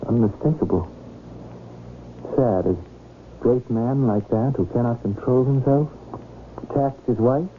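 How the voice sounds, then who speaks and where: An actor playing an earnest, polite young doctor in an old-time radio drama. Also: male voice, mid-range voice, measured pace, long pauses, close to an everyday voice. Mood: grave, clinical. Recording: lo-fi radio broadcast, faint noise.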